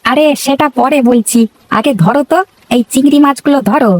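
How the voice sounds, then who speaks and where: A woman's voice: sits high, steady tone, brisk, dry recording, lightly acted. A woman voicing a chatty, food-loving young village husband in a cartoon, full of exclamations. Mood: eager. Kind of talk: cartoon voice acting.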